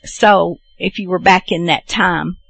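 A woman's voice: on the low side, fairly even intonation, a little slow, vocal fry, fairly bright tone, breathy edge, dry recording. A woman hosting a radio show, chatting casually in a rambling, self-deprecating way as she introduces programs.

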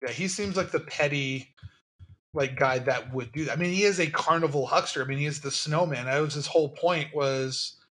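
A man with a bright, fairly high voice chats about a film, quick and hedging, often laughing at his own points. His tone leans forceful and crisp. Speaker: podcast co-host. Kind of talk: casual film discussion.

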